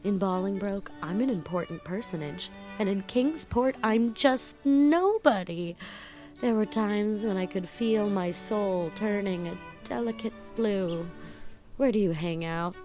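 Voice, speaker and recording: A woman's voice: medium pitch, expressive, a little slow, mellow, soft background music, natural voice. A woman playing a gushing, vain young student. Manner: gushing, breathless chatter with playful emphasis. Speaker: voice actor. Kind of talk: audio drama acting.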